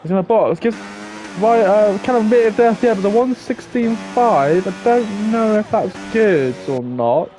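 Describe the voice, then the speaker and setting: A man's voice: high-pitched, lively intonation, normal speed, warm tone, a little hiss or hum, low-quality recording. A young man giving live, chatty commentary on an online racing league, thinking aloud and talking to viewers. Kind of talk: live esports race commentary.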